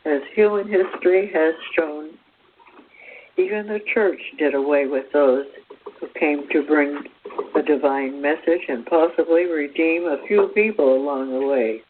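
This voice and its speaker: A man presenting a spiritual teaching as a read-out talk, with measured, deliberate phrasing in short pieces. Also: on the high side, a little hiss or hum. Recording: thin phone-like radio sound.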